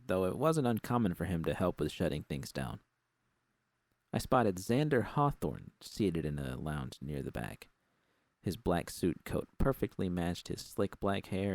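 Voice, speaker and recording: A man narrates a first-person story in a very low voice with heavy vocal fry. The sound is close and dry, with no room around it. He reads as a scripted audiobook narrator. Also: darkish tone, no echo.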